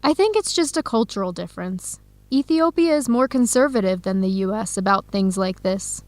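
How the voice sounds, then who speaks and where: A woman playing an earnest young Ethiopian-woman in an educational radio drama, speaking clearly and deliberately. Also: mid-range voice, expressive, no room sound, natural voice. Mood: frustrated, explaining.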